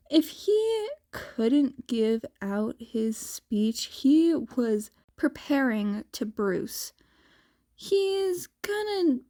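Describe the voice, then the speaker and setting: A woman's voice: fairly high pitch, dark tone. A woman reading a fan fiction story aloud as an amateur narrator.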